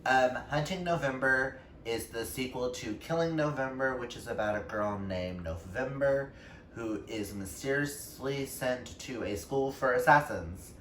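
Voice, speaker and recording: A man's voice: medium pitch, a little slow, fairly bright tone, distant mic. A man chatting casually to viewers about books in a gushing, emphatic way. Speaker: book reviewer on a vlog. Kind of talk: casual book chat to viewers. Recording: echoey room with faint background noise.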